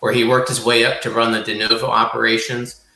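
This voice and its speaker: An American man with a bright, forward voice at a middle pitch. He co-hosts a podcast, presenting and interviewing a guest. The room echoes around him. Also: little pitch movement, brisk, faint music behind. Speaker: podcast co-host. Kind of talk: reading a guest introduction, interviewing. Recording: roomy, echoing sound.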